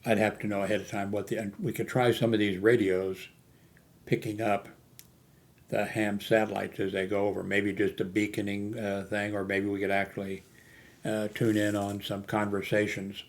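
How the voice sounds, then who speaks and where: Halting man with low, even-toned voice. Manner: rambling, halting, often losing his thread. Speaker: amateur radio hobbyist hosting a video channel. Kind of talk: casual unscripted vlog talk.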